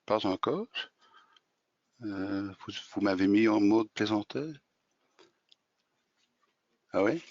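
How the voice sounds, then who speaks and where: A man's voice: fairly low pitch, lively intonation, slow pace, long pauses, a little mellow, dry recording. A man in his sixties with a Flemish accent, a native Dutch speaker presenting in French at a webinar, often hesitating as he searches for words. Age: 60s. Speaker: software company co-founder presenting. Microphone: video call. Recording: dull, dry webinar audio.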